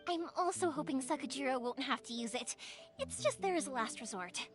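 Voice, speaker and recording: An actress playing a cheerful, caring young woman in a video game, chatty, expressive and easygoing. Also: high voice, fairly quick, music in the background. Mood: breezy, confident. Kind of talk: video game character acting.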